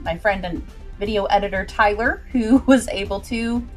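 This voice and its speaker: A woman's voice: medium pitch, moderate pace, a little mellow, soft background music. A woman hosting a casual livestream, talking in a bubbly, playful way with folksy turns of phrase. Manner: bubbly and playful, with folksy greetings and sing-song muttering. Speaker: livestream host.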